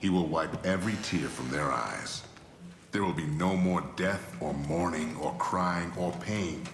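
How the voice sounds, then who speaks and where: An actor playing a weary, kindly small-town pastor in a video game, measured and folksy, with a gravelly voice. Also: male voice, sits low. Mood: solemn. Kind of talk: cinematic video game acting. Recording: roomy echo, loud music behind.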